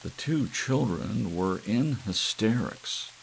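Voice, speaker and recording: Deep, gravelly male storyteller. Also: animated, slow pace, low-quality recording. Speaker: audiobook narrator. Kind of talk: soft dramatic story reading. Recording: audible hiss with rain-like noise. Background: soft rain.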